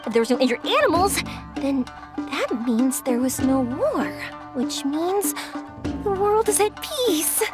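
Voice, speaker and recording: A bubbly young woman with a high, very animated voice. She sounds guileless and friendly, in game dialogue with music clearly behind her. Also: unhurried. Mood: puzzled musing. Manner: expressive, naive, musing. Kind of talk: animated video game voice acting.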